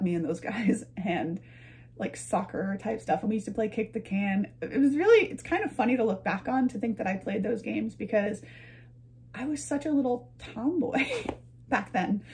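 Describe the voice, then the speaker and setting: A woman's voice: mid-range voice, darkish tone. A young adult woman telling a personal story to camera in a casual, chatty and playful way, often wandering into asides. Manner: chatty, playful, frequent digressions and chuckles. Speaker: vlogger and storyteller. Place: medium-sized home room, indoors.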